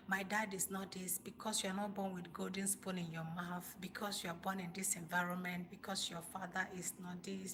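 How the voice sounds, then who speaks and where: Bright-voiced Nigerian woman, emphatic motivational livestreamer. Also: on the low side, a little hiss or hum. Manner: emphatic, repeats phrases for stress, rhetorical questions. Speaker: livestream host, motivational speaker. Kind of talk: informal motivational talk to live viewers.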